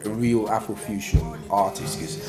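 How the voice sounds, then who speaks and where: A man from Nigeria, a musician guest chatting in an interview, animated and boastful, full of slang and shout-outs. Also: lowish voice, moderate pace, over music. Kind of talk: casual interview chat.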